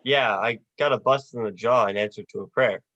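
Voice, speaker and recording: A young performer playing a despairing, sardonic family man in a radio play, with halting, dry delivery. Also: mid-range voice, fairly bright tone, lightly acted. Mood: sardonic, incredulous. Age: teens or young adult. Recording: dull, lo-fi video call audio.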